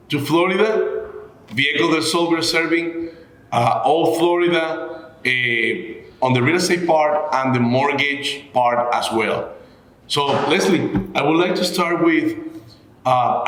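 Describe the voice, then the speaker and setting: A man hosting a conversational interview, phrasing things in a halting, deliberate way. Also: mid-range voice, a bit bright. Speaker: show host. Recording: echoey room.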